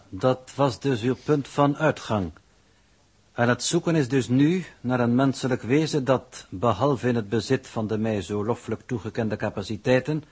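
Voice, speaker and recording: An actor playing a formal, pedantic, coolly authoritative mission coordinator in 1960s radio drama style, speaking in measured, official phrases. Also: male voice, mid-range voice, darkish tone, husky. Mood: formal, composed.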